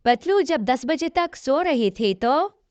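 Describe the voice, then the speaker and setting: A woman's voice: high voice, expressive, slow, darkish tone, dry recording. A woman narrating a scripted cartoon story as the storyteller. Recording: dull, lo-fi audio.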